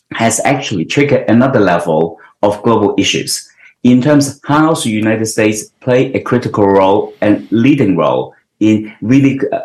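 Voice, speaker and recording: A man's voice: lowish voice, darkish tone. A man in his sixties from Hong Kong, giving earnest, explanatory interview answers and often restarting his sentences. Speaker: guest. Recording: roomy echo.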